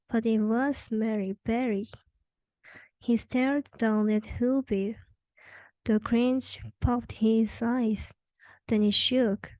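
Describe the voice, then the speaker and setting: A woman's voice: a little high, mellow, dry recording, rough recording. A young adult woman reading a children's rhyming story aloud as the narrator. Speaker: storybook reader.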